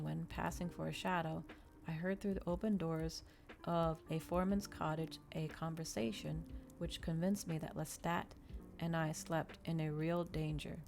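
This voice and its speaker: A woman reading a novel aloud in ASMR style, with a soft, hushed voice and measured, unhurried delivery. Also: low voice, vocal fry. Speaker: ASMR streamer.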